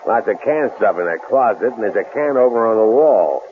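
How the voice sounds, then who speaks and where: An actor playing a menacing, bullying killer who taunts in folksy, mocking commands, in a radio suspense drama. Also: male voice, on the low side, expressive, fairly quick, natural voice. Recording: muffled, noisy old radio recording.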